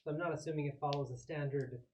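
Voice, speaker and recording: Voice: medium pitch, fairly even intonation, quick pace, darkish tone, slight background noise, low-quality recording. A player in a tabletop roleplaying game, talking in character and casually with the group.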